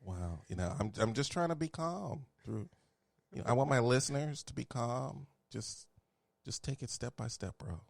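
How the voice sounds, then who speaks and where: A man's voice: medium pitch, moderate pace, gravelly, dry recording, clean studio sound. A man from Houston hosting a casual podcast chat, laid-back and jokey, laughing often. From Houston, Texas. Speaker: podcast host.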